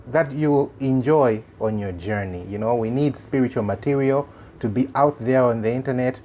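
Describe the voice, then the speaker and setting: A male host with a Malawian accent and Chichewa as his first language shares informal religious commentary. His voice sits at an average pitch. He speaks earnestly and haltingly, often circling back over a phrase to stress it. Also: a little mellow.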